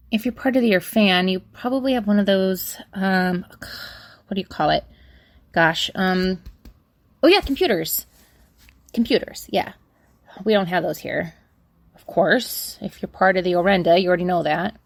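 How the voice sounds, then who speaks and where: A woman's voice: mid-range voice, gravelly, dry recording, studio quality. A young woman playing a flustered, chatty witch in an audio drama, rambling awkwardly and correcting herself as she dictates a letter. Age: young adult. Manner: rambling, awkward, self-correcting, sighing asides. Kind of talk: audio drama monologue, conversational.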